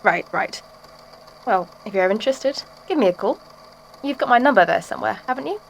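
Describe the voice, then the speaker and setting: Woman acting a high-voiced, blithely macabre sculptor woman. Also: expressive, fairly quick, gravelly, lo-fi sound, slight background noise. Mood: playfully menacing. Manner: chatty, musing, blithely menacing. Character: serenely macabre female sculptor of living bodies. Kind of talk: audio drama monologue, conversational.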